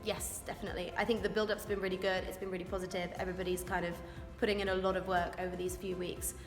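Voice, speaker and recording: A woman's voice: on the low side, little pitch movement, quick pace. Chatty young woman in an echoey room. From Wales. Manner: chatty, eager, informal. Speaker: rugby scrum-half. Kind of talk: interview answers. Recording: echoey room with long reverb, music behind.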